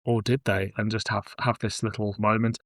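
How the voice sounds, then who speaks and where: A man's voice: fairly low pitch, flat delivery, moderate pace, a bit bright, no room sound, studio quality. A man hosting a podcast in casual discussion, conversational and thinking aloud as he goes. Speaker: podcast host.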